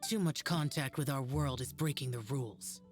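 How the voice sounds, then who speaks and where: A man's voice: mid-range voice, normal speed, close to an everyday voice. A man voicing an aloof, stern, youthful immortal guardian in a video game, solemn and curt. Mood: cold, warning. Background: game music.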